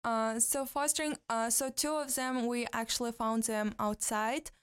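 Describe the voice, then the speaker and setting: A woman's voice: fairly high pitch, steady tone, normal speed, clear, resonant tone. A young woman from Kazakhstan, a native Kazakh speaker, talking in a casual interview with many fillers and restarts. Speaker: guest.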